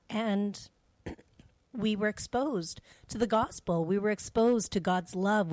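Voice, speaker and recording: A woman's voice: fairly low pitch, no room sound. A middle-aged woman from Canada hosting a faith show, giving personal testimony in a conversational, reflective and earnest way. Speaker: host of a faith radio show.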